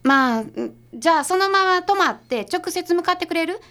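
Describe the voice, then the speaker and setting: An actress as a sharp-tongued Kansai woman, very animated. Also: extremely high pitch, exaggerated voice. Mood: brisk, businesslike. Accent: Kansai Japanese. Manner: blunt, brisk retorts. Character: sharp-tongued, skeptical woman detective's assistant. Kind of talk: comedic radio drama acting.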